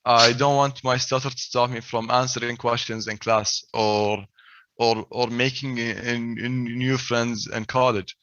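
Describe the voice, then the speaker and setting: A man's voice: medium pitch, fairly even intonation, measured pace, fairly bright tone. Young man with a heavy stutter. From Saudi Arabia. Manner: stutters heavily, repeating first sounds. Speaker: speaker at a stuttering event. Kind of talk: personal talk with slides. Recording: dry, slightly dull sound.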